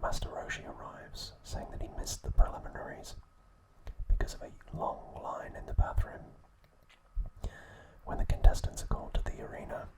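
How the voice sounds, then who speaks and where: A man narrating scripted ASMR in a breathy, unvoiced whisper, with a measured, soothing delivery. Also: poor audio. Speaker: ASMR channel host.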